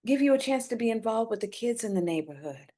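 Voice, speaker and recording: A woman's voice: fairly high pitch, lively intonation, fast talker, warm tone. A woman playing a guarded, burdened wife and determined community organizer in a screenplay reading. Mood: coaxing. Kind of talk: live staged screenplay reading.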